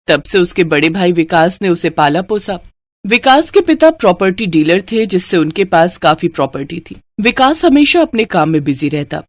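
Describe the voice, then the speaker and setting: A woman's voice: fairly low pitch, dry recording. A woman narrating a scripted children's moral story as the storyteller.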